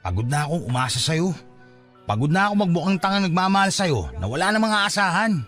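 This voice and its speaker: Lalaking gumaganap na sawing binata, mataas at madamdaming boses. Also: male voice, sits high, sing-song, fairly quick, a bit bright, soft background music. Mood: frustrated, hurt. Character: hurt, fed-up young father giving up on his unrequited love. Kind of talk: Filipino radio drama acting.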